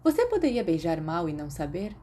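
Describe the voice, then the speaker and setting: A woman's voice: on the low side, sing-song, a little fast, warm tone, a little hiss or hum. A woman with a Brazilian Portuguese accent voicing an awkward, subdued, self-doubting teenage boy in an audiobook. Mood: doubtful.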